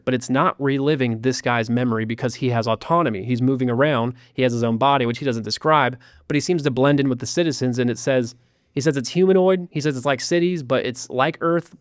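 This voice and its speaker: A man recounting a story in casual podcast talk, animated, with sarcastic asides. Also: mid-range voice, dry recording, clean studio sound. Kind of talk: casual chat.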